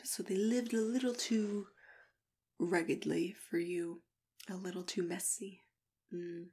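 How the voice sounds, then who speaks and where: A woman speaking softly and unhurriedly in an ASMR matchmaker roleplay, gently drifting in and out of whispers. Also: on the low side, steady tone, measured pace, breathy edge. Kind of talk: ASMR roleplay, soft-spoken chat with whispering. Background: computer keyboard typing and clicking.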